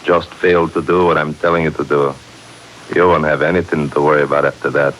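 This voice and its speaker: An actor playing a threatening, bossy crime boss with a curt, commanding manner in a 1940s radio serial. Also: male voice, a bass voice, flat delivery, a little fast. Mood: menacing. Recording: hissy 1940s radio broadcast.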